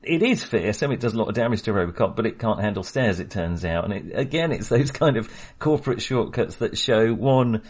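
A man's voice: lowish voice, animated, brisk, few pauses, breathy edge. A man co-hosting a casual film podcast, talking with many fillers and small laughs. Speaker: podcast co-host.

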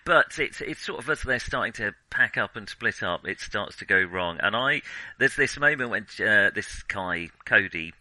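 A man with a fairly low but very sharp, bright voice. He talks as a podcast co-host in casual film discussion, enthusiastic and conversational. The sound is dry and close. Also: lively intonation, moderate pace, hardly any pauses, no room sound, rough recording.

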